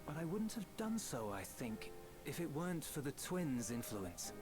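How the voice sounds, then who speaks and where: A man playing an earnest, humble young soldier in a video game, speaking in a measured, sincere and reflective way. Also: on the high side, very animated. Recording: game music behind the voice.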